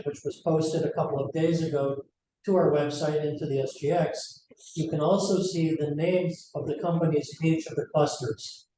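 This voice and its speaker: A man presenting to investors as a company executive, with a somewhat halting delivery. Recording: very echoey room, noisy rumbling background.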